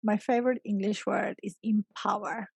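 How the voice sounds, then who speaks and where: Creaky-voiced woman, emphatic teacher. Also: mid-range voice, fairly even intonation, moderate pace, darkish tone, dry recording. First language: Georgian. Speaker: guest, experienced English teacher. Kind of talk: conversational interview answers.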